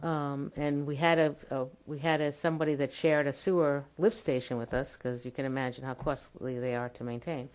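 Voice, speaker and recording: Very low-voiced woman chairing a town meeting. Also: steady tone, darkish tone, poor audio. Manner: halting, repeats words while explaining. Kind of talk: public meeting remarks. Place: town hall meeting room.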